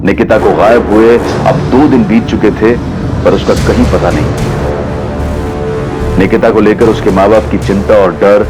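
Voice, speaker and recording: A man's voice: lowish voice, steady tone, normal speed, long pauses. A man narrating a true-crime TV show in a scripted style. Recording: dramatic music behind the voice.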